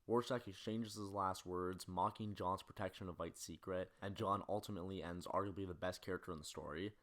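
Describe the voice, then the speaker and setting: Video essayist: young male, deep-pitched, brisk scripted narration. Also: fairly even intonation, fairly bright tone. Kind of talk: scripted film-analysis narration.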